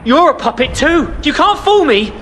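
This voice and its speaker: A man's voice: very high-pitched, very animated, normal speed, full character voice. A man playing a deranged, grieving avenger in a video game, muttering and repeating himself in a haunted way. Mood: vengeful, unhinged. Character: deranged grieving avenger, obsessed with killing puppets. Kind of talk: video game character acting. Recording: loud mechanical background noise.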